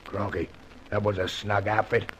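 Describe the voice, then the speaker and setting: An actor playing a terse, suspicious underworld barman, gruff and slangy, in old-time radio detective style. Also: on the low side, little pitch movement, moderate pace, natural voice. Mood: suspicious, probing. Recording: hissy old radio recording.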